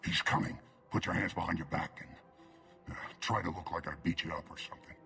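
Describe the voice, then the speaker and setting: An actor playing a gruff, businesslike alien mercenary enforcer in a video game, terse and commanding. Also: male voice, deep voice, steady tone, fairly quick, pushed and bright, faint music behind, exaggerated voice. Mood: urgent.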